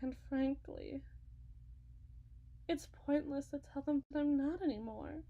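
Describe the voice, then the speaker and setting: A woman playing an unsettling, fervent faith healer and self-styled prophet in a dramatic roleplay monologue, sweetly coaxing and pleading, stretching her words and dropping into hushes. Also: high voice, phone-quality sound. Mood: sorrowful, resigned. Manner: theatrical, pleading, sing-song preaching with drawn-out words and sudden hushes.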